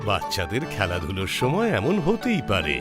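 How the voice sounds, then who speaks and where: A man's voice: sits low, wildly swooping pitch, moderate pace, over loud music. A man voicing a kindly, easygoing crab uncle in a children's cartoon, reassuring and avuncular. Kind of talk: children's cartoon story acting.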